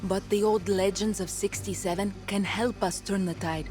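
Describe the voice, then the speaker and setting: A woman plays a commanding revolutionary leader in a video game. Her mid-pitched voice is firm, earnest and purposeful, setting out orders in a measured way with music behind her. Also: fairly even intonation, darkish tone, natural voice. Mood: determined, grave. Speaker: rebel leader giving a mission briefing. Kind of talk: video game character acting.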